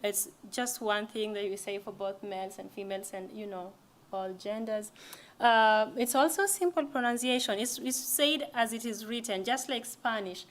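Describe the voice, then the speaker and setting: A woman's voice: medium pitch, normal speed. Woman presenting. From East Africa. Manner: conversational, with frequent restarts and fillers. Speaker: language presenter. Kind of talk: library lecture.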